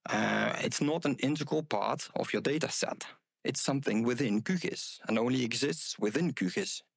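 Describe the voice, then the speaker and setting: A middle-aged man giving a conference talk, with a loud voice and many ums and uhs. He speaks on a headset mic in a room with an audience. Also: medium pitch, normal speed, gravelly, slightly rough, no echo, clean studio sound.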